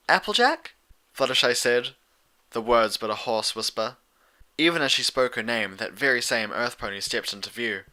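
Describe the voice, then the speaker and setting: A man's voice: mid-range voice, sharp tone. A man reading a story aloud as a scripted audiobook narrator.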